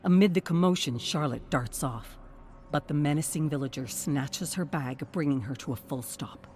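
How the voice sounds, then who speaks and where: A narrator with a mid-range voice delivers dramatic scripted storytelling. The reading is measured and suspenseful, with faint music underneath. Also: medium pitch, a little mellow.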